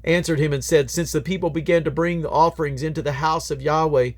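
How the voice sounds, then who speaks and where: A man with a slightly high voice reads scripture aloud as a Bible reader. His delivery is solemn and declamatory, and his phrases tend to drop at their endings. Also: steady tone, fast talker.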